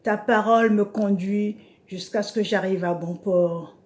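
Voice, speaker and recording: A woman's voice: medium pitch, steady tone, a little mellow. A woman preacher giving an informal devotional talk on Bible verses, speaking hesitantly with many fillers. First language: an African language she names as her mother tongue. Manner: slow, hesitant speech with frequent "euh" fillers.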